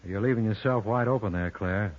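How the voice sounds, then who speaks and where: A man's voice: on the low side, a little fast, natural voice. A man playing a wisecracking, cocky lawyer-sleuth in a glib, sardonic 1950s radio style, on a muffled old recording. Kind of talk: 1950s radio detective drama acting.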